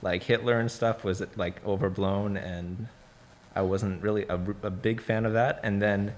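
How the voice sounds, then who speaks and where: A man's voice: on the low side, steady tone, moderate pace. A young man sharing his thoughts in a discussion, hesitant and careful, over some background noise. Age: young adult. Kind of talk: reflective discussion.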